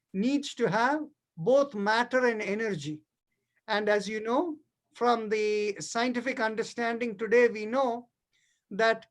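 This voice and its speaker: A middle-aged man from India with an Indian accent and Tamil as his first language, teaching yoga philosophy in a didactic, explanatory way and checking that listeners follow. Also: very high-pitched. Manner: didactic, checks understanding with short tag questions. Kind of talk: explanatory spiritual lesson.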